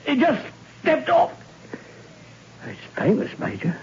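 An actor playing a drunk, terrified male hangman who raves about ghosts in a raspy, gibbering voice, in a 1960s radio drama. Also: big pitch swings, takes it slowly, exaggerated voice. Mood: hysterical. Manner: gibbering, shrill and breathless. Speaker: prison hangman. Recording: dull lo-fi old radio recording.

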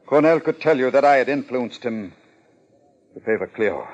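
A man's voice: medium pitch, animated, moderate pace, lo-fi sound, close to an everyday voice. An actor playing a pompous contest sponsor with murder in his past, in formal 1950s radio drama diction. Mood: resigned, confessing.

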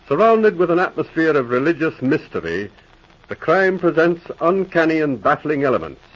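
A man announcing an old radio drama, formal and dramatic, his pitch a bit high and moving a lot. His voice is slightly husky and comes through a dull, lo-fi transcription. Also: very animated, moderate pace. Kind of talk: scripted 1940s radio narration.

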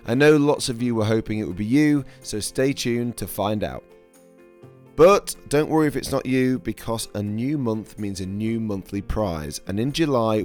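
Croaky, lowish male chef-host, upbeat read, faint music under him. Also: darkish tone. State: croaky, losing his voice. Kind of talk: scripted podcast narration.

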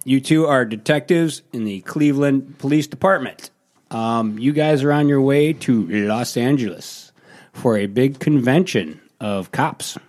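A man at an everyday middle pitch hosts a casual podcast and steers a tabletop game. He sounds upbeat and guiding, and he laughs easily. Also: measured pace, a little mellow. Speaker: co-host and game master.

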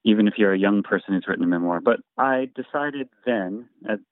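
A man's voice: fairly low pitch, a little fast, dry recording. Easygoing man on a thin phone line. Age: 40s. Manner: easygoing and modest, chuckles often. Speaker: guest, singer and memoir author. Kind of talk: casual interview chat.

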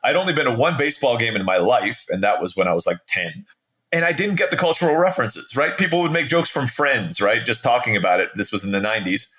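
Man, forties, American accent, bright crisp-edged tone, casual storytelling, conversational tag questions. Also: mid-range voice, animated, fast talker. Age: 40s. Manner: conversational, peppered with "right?" tags. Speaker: interview guest.

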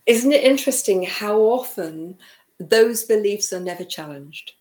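A woman's voice: mid-range voice, expressive, unhurried, a little mellow, roomy sound. A British woman hosting an interview, speaking thoughtfully and reflectively with some hesitant fillers. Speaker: host.

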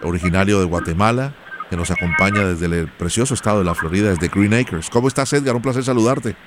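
A man's voice: on the low side, fast, heavy background noise. A man hosting a radio interview show, speaking in an affectionate, encouraging way and full of praise for his guests.

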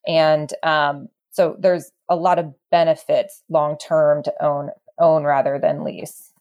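A woman guest explaining real estate matters in a conversational interview, thoughtful and halting as she works through her points. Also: sits low, flat delivery, a little mellow, highs cut off.

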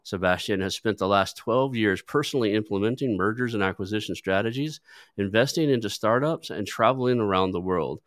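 A man's voice: fairly low pitch, a little fast, fairly bright tone, no room sound, studio quality. A man hosting a podcast, talking in a loose conversational way with frequent restarts. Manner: conversational, stumbles and restarts, uses fillers like "like". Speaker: podcast host. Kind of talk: podcast interview.

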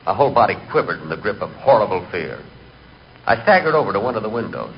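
A man's voice: lowish voice, sing-song, fast talker. A man acting a timid, neurotic and comically vain storyteller in 1940s radio comedy. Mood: deadpan, confiding. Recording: muffled, hissy 1940s radio recording.

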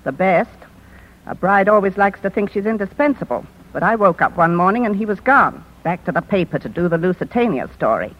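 An actress playing a shrewd older newspaper publisher with dry, theatrical wit in 1950s radio drama style. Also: female voice, medium pitch, lively intonation, brisk, lightly acted. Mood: wry, affectionate. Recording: dull vintage 1950s radio broadcast.

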